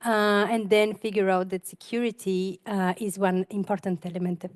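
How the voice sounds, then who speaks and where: A woman's voice: medium pitch, level tone, moderate pace, no room sound, clean studio sound. A woman from Bulgaria introducing herself on a panel, fluent with frequent fillers.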